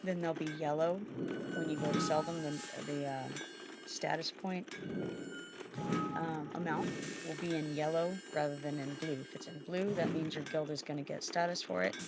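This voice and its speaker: A streamer giving relaxed, halting commentary over gameplay, stuffy and sniffling from allergies, with lots of fillers and restarts. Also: medium pitch, heavy background noise. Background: loud background music.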